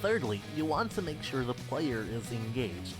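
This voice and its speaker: A man's voice: medium pitch, very animated, normal speed, lightly acted. A man playing a game developer who presents step by step at a convention panel in a visual novel game. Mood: upbeat, instructive. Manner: addresses a crowd in clear steps. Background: guitar game music.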